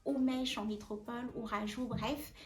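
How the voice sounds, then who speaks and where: Young woman vlogger, high voice, casual hesitant chat, roomy echo. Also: steady tone, normal speed. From French Guiana. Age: 20s. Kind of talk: casual chat to camera, sharing advice. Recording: echoey room.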